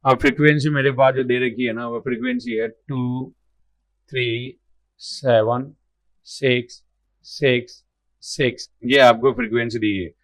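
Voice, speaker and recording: A man, slightly hoarse, teaching exam math problems step by step, repeating numbers as he works and asking himself questions. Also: medium pitch, a little slow, fairly bright tone, no room sound. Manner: explains aloud while writing, repeats numbers, asks and answers his own questions. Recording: dull lo-fi sound.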